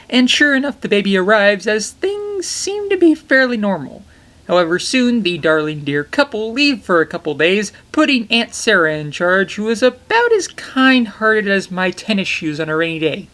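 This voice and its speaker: A young man reviews an old animated film with jokey, enthusiastic asides, his pitch bouncing up and down as he talks. He sits in a small poster-covered bedroom, talking toward a webcam. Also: moderate pace. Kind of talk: comedic film review narration.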